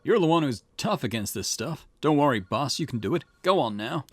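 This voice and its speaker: Man playing an excitable junior detective. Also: medium pitch, brisk, no echo, pitched above the performer's own voice, natural voice. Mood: urgent, coaxing. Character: excitable junior police detective, loyal sidekick.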